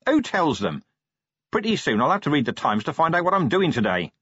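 Police commander played by a man: world-weary, blunt, sardonic authority, lively intonation, dry close sound. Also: fairly high pitch, a little fast, breathy edge, no echo, muffled recording, pitched above the performer's own voice, full character voice. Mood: sardonic, irritated. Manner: sardonic, commanding, plain-spoken.

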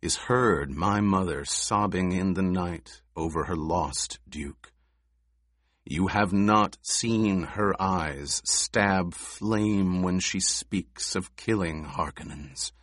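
A man reading an audiobook, voicing a young noble heir who speaks in a deadly quiet, controlled monotone. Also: sits low, slow, no room sound, clean studio sound, full character voice. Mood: cold. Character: young male noble heir, cold and commanding. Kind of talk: audiobook character voice.

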